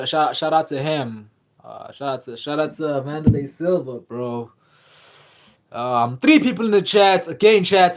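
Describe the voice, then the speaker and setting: A young man with a slightly high voice, rambling casually through a live stream. He loops back on his words, leans on fillers, and reads viewer comments aloud as he goes. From South Africa. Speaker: livestream host.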